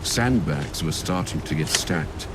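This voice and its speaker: A man's voice: a bass voice, lively intonation, unhurried, heavy background noise. A man narrating a scripted war audio drama in a dramatic storytelling style. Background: loud music with battle effects.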